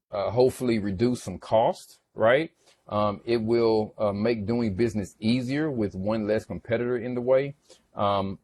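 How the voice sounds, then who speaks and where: A man giving an informal, explanatory financial presentation in a halting manner, often checking in with the listener. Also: lowish voice, a little mellow. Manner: halting, checks in with "right?" and "okay?". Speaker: investment presenter. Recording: clearly noisy background ambience.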